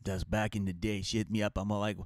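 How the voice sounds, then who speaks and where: Low-voiced man with an Armenian accent. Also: little pitch movement, fast talker, dry recording, clean studio sound. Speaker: comic and podcast co-host. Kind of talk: casual comedic banter.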